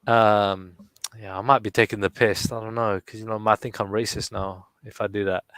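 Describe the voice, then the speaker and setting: West London man, quick and defensive. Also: on the low side, level tone, a bit bright, smooth, no echo. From West London, England. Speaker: guest poet on a live audio room. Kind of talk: casual live chat.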